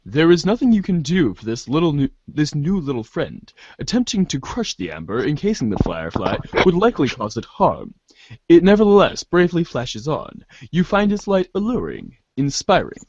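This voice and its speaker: Theatrical man reading aloud, slightly high-pitched voice. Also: huge pitch swings, moderate pace, a little mellow, husky, no room sound, highs cut off. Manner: theatrical, often stumbles and restarts while reading. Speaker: narrator in a fan readers' theater show. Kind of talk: dramatic read-aloud of a webcomic.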